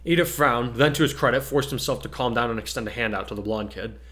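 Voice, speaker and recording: Man narrating a story, mid-pitched. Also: fast talker, a bit bright. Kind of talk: dramatic story reading, scripted narration.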